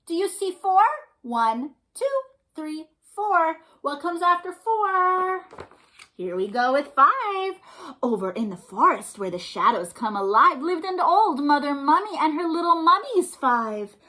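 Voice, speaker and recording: A woman's voice: sits high, big pitch swings, a little slow, bright, forceful tone. A woman hosting a storytime for young children, reading aloud and chatting in an encouraging, sing-song way with questions and praise. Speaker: children's storytime host and librarian.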